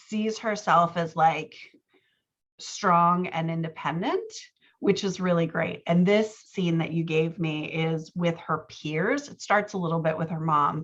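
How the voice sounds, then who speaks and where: A woman's voice: low-pitched, a bit bright, highs cut off. A woman working as a writing coach and podcast host, giving casual, encouraging feedback in conversation. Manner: encouraging, affirming.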